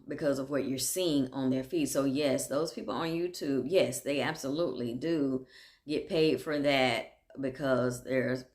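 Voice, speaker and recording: A woman chats in a loose, candid monologue. She laughs easily, drifts off on tangents and pulls herself back, and her voice sits deep for a woman. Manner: chatty and candid, laughs often, drifts off track. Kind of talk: casual monologue.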